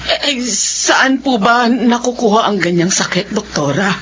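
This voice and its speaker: A woman's voice: mid-range voice, animated, measured pace, a little hiss or hum. A woman playing a poor elderly grandmother in a Filipino radio drama, pleading and stammering with emotion. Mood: humble, sorrowful. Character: poor elderly grandmother, desperate street vendor, humbly grateful. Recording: muffled audio under loud music.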